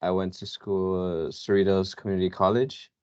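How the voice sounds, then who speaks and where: A young man from California introducing himself as an intern on a video call, quiet and measured. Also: low-pitched, level tone, smooth, no echo. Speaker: engineering intern. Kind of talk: self-introduction on a panel. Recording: dry, dull video call audio.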